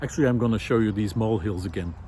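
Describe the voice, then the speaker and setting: A man, musing aloud in a walk-and-talk video. He talks reflectively and often pauses with "uh". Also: fairly low pitch, dark tone, slight background noise. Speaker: video essayist. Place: outdoor park lawn. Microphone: handheld camera while walking.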